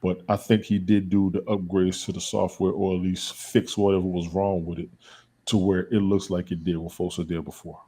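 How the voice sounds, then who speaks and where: Male guest, husky, low pitch, insistent and repetitive in casual chat. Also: little pitch movement, moderate pace, mellow. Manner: emphatic, repeats points.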